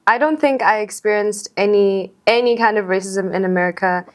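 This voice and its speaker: Expressive young South African woman, chatting casually. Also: mid-range voice, fairly quick. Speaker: podcast guest. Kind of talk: casual conversational storytelling.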